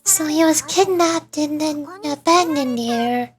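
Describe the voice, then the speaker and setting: Casting: woman playing a tiny excitable fairy girl for a game, high, eager, full of exclamations. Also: slow pace, soft background music. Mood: concerned, curious. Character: small chirpy, excitable fairy-like girl companion.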